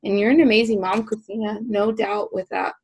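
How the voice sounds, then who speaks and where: A woman hosting a casual interview show, effusive, warmly thanking and praising her guests. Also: medium pitch, fairly even intonation, a little fast, slightly rough, low-quality recording. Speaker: podcast host.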